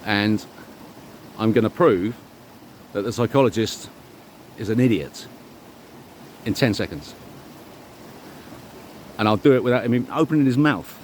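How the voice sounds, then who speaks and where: A middle-aged man giving a rambling, informal monologue, speaking emphatically and self-assuredly in halting, repeated phrases. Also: fairly low pitch, a little hiss or hum. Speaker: vlogger.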